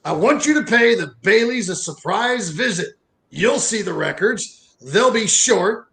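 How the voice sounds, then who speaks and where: An actor playing a mean, scheming old rich man in a radio play, sharp and menacing. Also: high-pitched, animated, gravelly, exaggerated voice. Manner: smug, menacing, deliberate.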